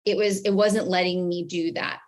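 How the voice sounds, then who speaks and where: A woman's voice: on the low side, fairly even intonation, normal speed. An American woman speaking as an interview guest and branding coach, explaining emphatically and posing rhetorical questions.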